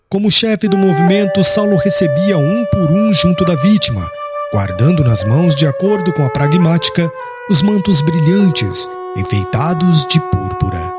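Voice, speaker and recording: A man with a Brazilian accent reading a scripted audiobook narration in a solemn, measured, dramatic manner. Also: a little high.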